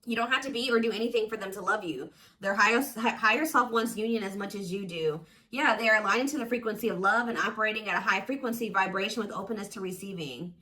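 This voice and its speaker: A woman's voice: mid-range voice, fast talker, hardly any pauses, sharp tone. A woman giving a casual, intuitive tarot reading to viewers, speaking informally and with emphatic assurance. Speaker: tarot reader, host.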